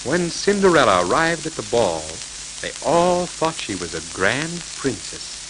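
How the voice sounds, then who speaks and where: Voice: mid-range voice, very animated, unhurried. A storyteller reading scripted fairy tales to children on a crackly 1940s record.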